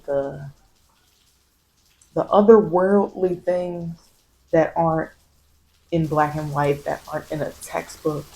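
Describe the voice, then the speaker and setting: Woman, American accent, low dark relaxed voice, soft ASMR tarot reading, meandering reassurance. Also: poor audio. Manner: meandering, repeats phrases for reassurance. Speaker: tarot reader. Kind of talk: soft-spoken ASMR spiritual guidance.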